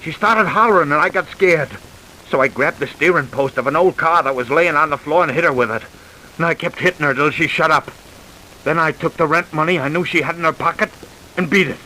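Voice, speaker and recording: An actor playing a broken, self-loathing American murder suspect in an old-time radio crime drama, plain-spoken and downcast. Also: male voice, a little high, brisk, bright, forceful tone, rough recording. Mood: shaken, confessing. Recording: hissy old radio recording.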